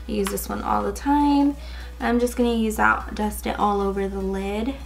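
Raspy young woman, chatty makeup tutorial. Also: mid-range voice, steady tone, moderate pace. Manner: casual, chatty, many fillers like "um" and "like". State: slightly hoarse from a cold. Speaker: beauty vlogger. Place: indoors, in front of a gold sequin backdrop.

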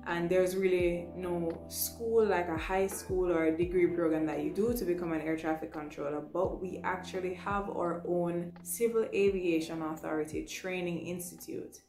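A woman's voice: fairly low pitch, a little mellow. A young adult woman with a Jamaican accent, giving an informal, instructive explainer to camera in a small room. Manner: instructive, often tagging points with "right" and "you know". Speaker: vlogger, trainee air traffic control assistant. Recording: roomy echo with music underneath. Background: music.

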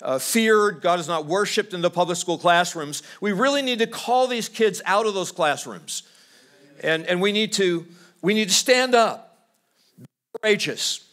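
A middle-aged man from California preaching a sermon at a church lectern, swinging from loud, high exhortation to hushed, low storytelling and restarting his phrases as he goes. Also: animated, moderate pace. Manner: repeats words as he restarts phrases. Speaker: pastor. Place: church stage at a wooden lectern.

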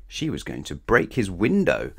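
A man reading a children's storybook aloud in an animated, playful way, speaking straight to young listeners. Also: sits low, moderate pace, gravelly. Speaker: storybook reader. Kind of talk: expressive scripted children's story read-aloud.

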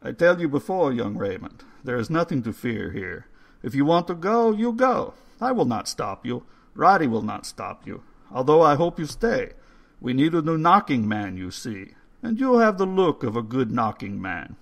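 A man voicing a calm, courteous old male undertaker who speaks in formal, slightly stilted phrases. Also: mid-range voice, normal speed, creaky, voice pushed up. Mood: amused, insistent.

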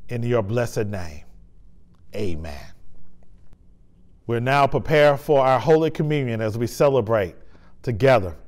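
A man's voice: medium pitch, darkish tone. A man, a pastor, leading a sermon and communion liturgy in a church sanctuary with a lapel mic, with fervent, emphatic preaching and solemn prayer.

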